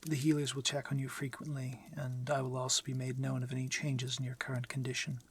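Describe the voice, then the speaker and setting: A man playing a courteous, protective, commanding vampire lord in an intimate ASMR audio drama, speaking softly in measured, formal phrases that often turn whispery. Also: mid-range voice, monotone, slight background noise, natural voice. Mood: tender, insistent.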